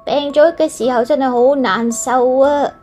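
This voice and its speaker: A woman voicing an innocent, carefree little schoolgirl in a children's story, childlike and expressive, with a Hong Kong Cantonese accent. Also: high voice, a little slow, faint music behind, pitched above the performer's own voice. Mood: miserable.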